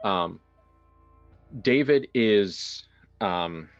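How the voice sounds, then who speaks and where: Low-voiced man hosting, dull lo-fi sound. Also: animated, extremely slow, smooth, soft background music. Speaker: game master and host. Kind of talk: casual improvised introduction and horror game narration.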